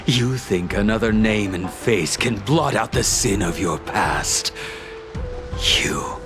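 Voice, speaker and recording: A man voicing a tormented, menacing swordsman bent on revenge in a video game cutscene, halting between whispers and manic outbursts. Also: lowish voice, animated. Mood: cold, vengeful. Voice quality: gravelly, breathy whispers. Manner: slow, halting, swinging into manic laughter and shouts. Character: unhinged vengeful male swordsman, tormented and menacing. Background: background music.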